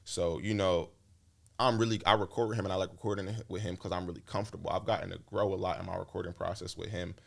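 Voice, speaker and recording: A man's voice: low-pitched, fairly even intonation, quick pace, gravelly. A young man, a rapper guest in a casual interview, talking in a laid-back, slangy way with lots of fillers.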